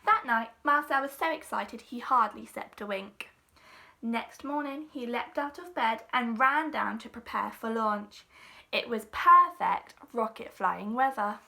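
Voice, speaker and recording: A woman's voice: a little high, expressive, unhurried, creaky, bright, forceful tone. A woman teacher reading a children's storybook aloud expressively, with dramatic emphasis.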